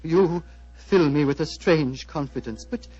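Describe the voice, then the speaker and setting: Husky, solemn old Tibetan abbot. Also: male voice, on the high side, warm tone, soft background music. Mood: grave, trusting. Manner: solemn, formal, measured phrasing. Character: elderly male Tibetan abbot, grave and gentle. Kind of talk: 1940s radio drama acting. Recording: muffled vintage 1940s radio broadcast.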